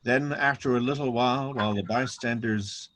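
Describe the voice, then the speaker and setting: A man's voice: medium pitch, moderate pace, a bit bright, slight background noise. A man narrating a dramatic reading of scripture.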